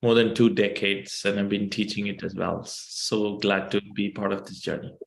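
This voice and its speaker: A middle-aged man with an Indian accent introduces himself to a group as a meditation teacher. His voice is fairly low with a gravelly creak, and the room echoes around it. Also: fairly even intonation, moderate pace. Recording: echoey room over video call.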